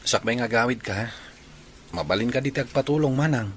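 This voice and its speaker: A man's voice: medium pitch, normal speed, a little hiss or hum, natural voice. A man playing an earnest, patient young suitor in an Ilocano radio drama.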